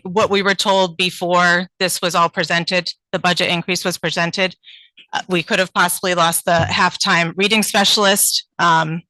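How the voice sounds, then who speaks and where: A woman's voice: on the low side, sharp tone, no room sound, poor audio. A woman teacher reading a prepared public comment at a town board meeting, earnest and pleading, with frequent ums.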